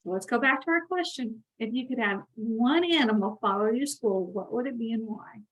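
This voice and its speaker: A woman host reading a picture book aloud expressively, talking directly to young listeners in an animated way. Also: a little high, brisk, rough recording. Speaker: read-aloud channel host.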